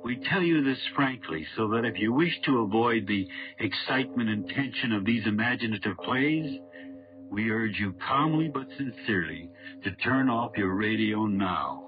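A man hosting an old radio horror show, speaking in a solemn, deliberate, measured way. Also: on the low side, lively intonation, music in the background. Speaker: host and announcer. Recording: muffled old radio recording.

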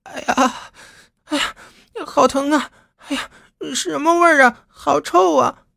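A man voicing a brash, hot-tempered young woman who complains in a shrill, whiny way. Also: measured pace, creaky, pushed and bright, no room sound, studio quality, heavily acted. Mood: pained, angry.

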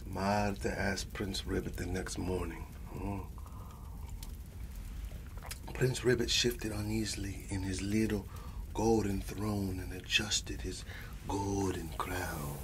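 A man's voice: deep voice, level tone, measured pace, slight background noise. A man playing a doting old grandfather who reads a bedtime story in an ASMR roleplay, affectionate and chatty, slipping into endearments. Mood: storytelling, playful.

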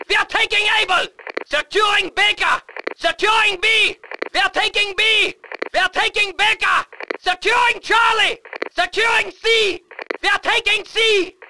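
A man's voice: very high-pitched, background noise. A man playing a fervent German army soldier in a war video game, barking urgent, clipped battle announcements. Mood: urgent, commanding. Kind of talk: shouted combat announcements.

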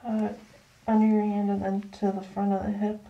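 A woman's voice: mid-range voice, monotone, brisk, lo-fi sound. A young adult woman, a chiropractic patient, casually giving brief answers during treatment in a small office. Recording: roomy, noisy, away from microphone.

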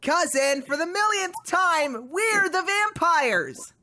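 A woman's voice: very high voice, big pitch swings, takes it slowly, pushed and bright, exaggerated voice. A woman voicing an exasperated, melodramatic male duck vampire in a live comic dub parody. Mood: exasperated outburst. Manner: theatrical, exasperated.

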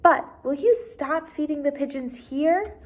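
An excitable little girl from a storybook, read by a woman. Her voice is on the high side, earnest and eager, and she blurts things out. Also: unhurried.

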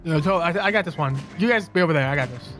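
A man with a high-pitched voice rattles along quickly and goofily as he jokes with friends in a game. He sounds playfully boastful, with music in the background. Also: lively intonation, fairly bright tone. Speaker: gamer. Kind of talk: casual, joking gameplay banter.

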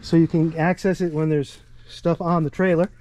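A man's voice: a little high, flat delivery, normal speed, darkish tone. A man giving a plain, matter-of-fact walkaround outdoors as a kayak rental guide, talking into the camera he holds. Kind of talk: casual walkaround video. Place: outdoors on a rural property. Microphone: camera held by the speaker.

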